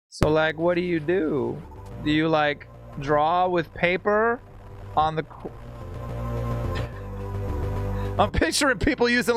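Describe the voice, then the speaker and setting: A man talks off the cuff to his live-stream audience as he plays. His voice sits fairly high and jumps around in pitch with animated inflection. He is informal and sweary, with music playing softly behind him. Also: vocal fry. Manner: informal, slangy and profane, laughs easily. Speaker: game streamer. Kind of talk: casual live-stream chat while playing a game.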